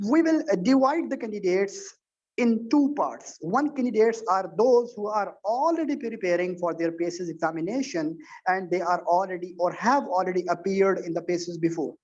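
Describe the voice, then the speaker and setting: A man's voice: high-pitched, a little mellow. Man lecturing over a dull, lo-fi call. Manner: earnest, repetitive lecturing with many restarts. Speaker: medical exam tutor. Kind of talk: online teaching session. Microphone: video call. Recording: dull lo-fi online call audio.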